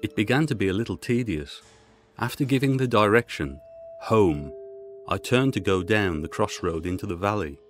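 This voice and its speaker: A man's voice: lowish voice, fairly quick. A man reading a gothic horror story aloud as a dramatic audiobook narrator.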